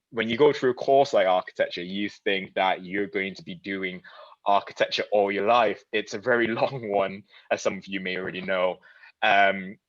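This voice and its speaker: A young man from Malaysia talks through his presentation in a low voice, loose and chatty, often restarting his sentences. The sound is dull and lo-fi, very dry, with no room around it. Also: fairly bright tone, no room sound. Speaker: design studio founder giving a talk. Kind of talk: casual presentation talk.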